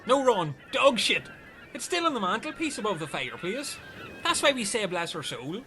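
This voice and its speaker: A man's voice: high-pitched, very animated, moderate pace, sharp tone, slight background noise, exaggerated voice. A man playing a dim, chatty, earnest Irish castaway in a comedy radio play, rambling and taking things literally.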